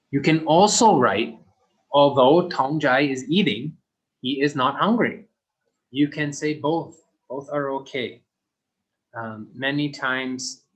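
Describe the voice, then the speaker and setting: Male teacher, recorded classroom lesson for kids; slow, deliberate delivery, mid-pitched, plain and simple phrasing. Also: poor audio. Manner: speaks slowly and simply for young learners.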